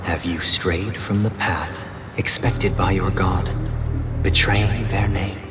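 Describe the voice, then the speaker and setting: Game role: grave male inquisitor, low and slow, stern ritual delivery. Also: fairly even intonation. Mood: stern, ceremonial. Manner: ceremonial, stern, deliberate. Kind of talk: video game voice acting, ceremonial dialogue. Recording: loud music behind the voice. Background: loud game music.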